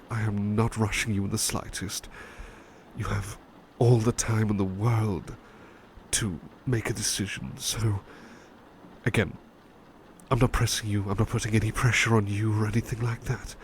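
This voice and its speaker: A man playing a devoted, humble butler in an ASMR roleplay, speaking softly and tenderly with halting, repeated words. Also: on the low side, slight background noise, lightly acted. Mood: earnest, tender. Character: devoted, gentle, humble male butler sweetheart. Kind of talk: ASMR roleplay, personal attention.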